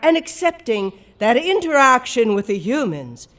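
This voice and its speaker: A woman's voice: a little high, very animated, moderate pace, a bit bright. A woman playing a stern female cat leader in an audio drama, speaking in a formal, ceremonial manner. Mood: solemn, stern. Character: solemn, stern female cat leading a village commune.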